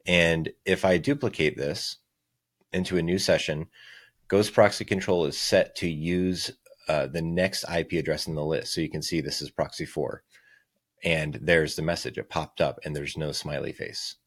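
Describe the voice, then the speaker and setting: A man's voice: extremely low pitch, steady tone, moderate pace, no echo, studio quality. A man narrating a casual screencast tutorial as the presenter, explaining things step by step. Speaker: software demo presenter.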